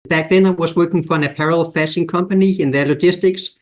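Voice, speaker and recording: Danish-accented man, measured guest. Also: a little high, steady tone. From Denmark. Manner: measured, explanatory, lists examples. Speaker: guest expert. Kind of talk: podcast interview.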